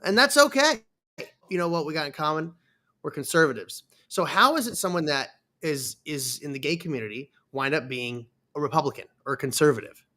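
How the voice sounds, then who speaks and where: Bright-voiced male podcast host in his thirties. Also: on the high side, expressive, a little fast. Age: 30s. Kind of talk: casual interview chat.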